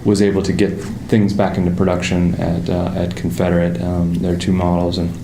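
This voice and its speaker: A man with a fading Pittsburgh accent telling his story in a relaxed interview, with frequent fillers and restarts. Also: deep voice, a little fast, creaky, reverberant, noisy background. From Pittsburgh area, United States. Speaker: motorcycle designer, guest. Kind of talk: casual interview conversation.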